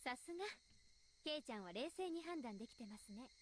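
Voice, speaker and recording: A woman voicing a poised, polite teenage village girl in a Japanese visual novel, matter-of-fact and gently teasing. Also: sits high, expressive, very fast, a little hiss or hum. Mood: composed.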